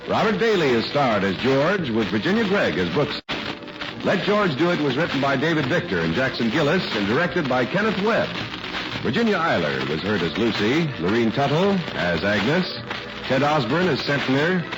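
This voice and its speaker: Animated vintage radio announcer man. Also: mid-range voice, poor audio. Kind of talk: 1940s radio sponsor announcing. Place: radio studio, music behind.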